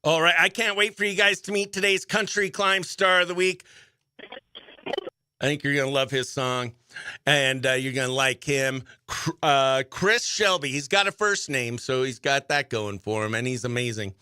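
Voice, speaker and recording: A man's voice: medium pitch, normal speed, bright, forceful tone, smooth, dry recording, clean studio sound. A man hosting a radio morning show, chatting casually and upbeat with informal slang as he runs a contest. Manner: informal slangy, exclaims dude and oh heck. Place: small radio studio, close dry mic.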